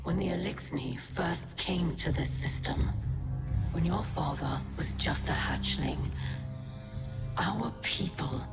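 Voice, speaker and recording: Slow, menacing female alien warlord. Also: fairly low pitch, loud music behind the voice. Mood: grave, bitter. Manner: slow, menacing, grandiose delivery. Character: bitter, commanding female alien pirate warlord. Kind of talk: dramatic video game acting.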